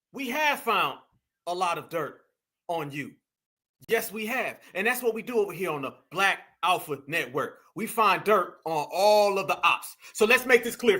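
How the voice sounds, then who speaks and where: A man hosting a reaction commentary show, talking in a brash, slangy, mocking way and sometimes breaking into shouts. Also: sits high.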